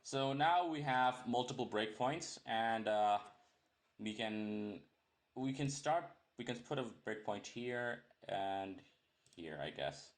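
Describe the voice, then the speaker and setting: A man's voice: medium pitch, animated, slow. A young man teaching a programming lesson in a casual, rambling way, often correcting himself and joking as he goes. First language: English. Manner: rambling, self-correcting, jokey asides. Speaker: programming tutor. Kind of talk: casual screen-recorded coding tutorial.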